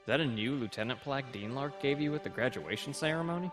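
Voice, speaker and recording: A man's voice: mid-range voice, expressive, quick pace, a bit bright, faint music behind, natural voice. A man voicing a candid, wary young junior officer in a dramatic comic dub. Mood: casual, curious.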